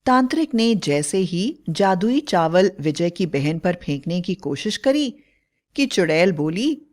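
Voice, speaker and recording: A woman's voice: on the low side, big pitch swings, unhurried, a little mellow. A woman narrating a scripted horror fairy tale in a dramatic storytelling style.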